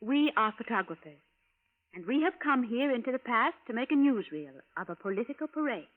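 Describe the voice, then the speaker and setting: An earnest traveler from the future in a vintage radio play. Her voice is on the high side and her phrasing formal and precise. It sounds thin and tinny, like an old broadcast. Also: sing-song, fairly quick. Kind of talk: 1940s-50s radio drama acting.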